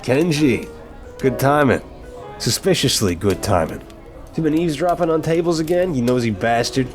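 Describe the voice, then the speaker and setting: A man's voice: medium pitch, lively intonation, normal speed, faint music behind, close to an everyday voice. A man playing an apologetic, flirtatious mafia boss who talks to a date in a teasing, earnest, affectionate way, in an ASMR roleplay. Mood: playfully indignant.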